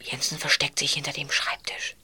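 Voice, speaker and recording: Woman playing a low-pitched young boy detective. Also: completely flat delivery, normal speed, in a whisper, natural voice. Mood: hushed, tense. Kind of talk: audio drama acting.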